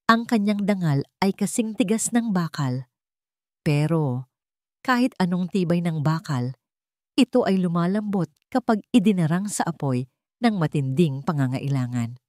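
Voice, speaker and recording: A woman's voice: sits low, darkish tone, no echo, clean studio sound. A woman reading a scripted dramatic story as a narrator.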